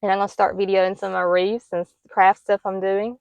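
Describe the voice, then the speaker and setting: A middle-aged woman who talks casually to the camera in a soft, plain and hesitant way, with many ums. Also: medium pitch, level tone, a little fast, creaky, clear, resonant tone, no room sound. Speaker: homestead vlogger.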